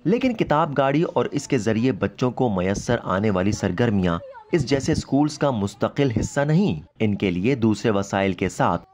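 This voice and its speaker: A man narrating a scripted news report in a measured, formal voice. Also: on the low side, expressive, darkish tone.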